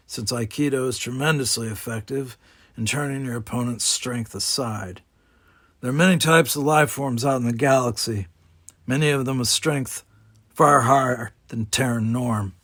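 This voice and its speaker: A man voicing a confident, burly older male combat instructor, lecturing in a measured, authoritative way. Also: medium pitch, fairly bright tone, no room sound, lightly acted.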